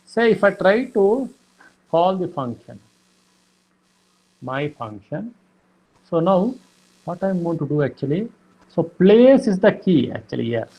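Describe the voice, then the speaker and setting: A man teaching programming, explaining slowly with many fillers and repeated "okay". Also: high-pitched, big pitch swings, warm tone, low-quality recording. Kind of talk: lecture explaining code.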